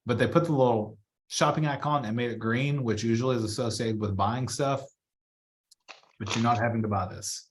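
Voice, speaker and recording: Man casually talking through a software demo. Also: mid-range voice, normal speed. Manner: chatty, informal, thinking aloud as he works. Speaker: real estate trainer. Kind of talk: casual live software walkthrough.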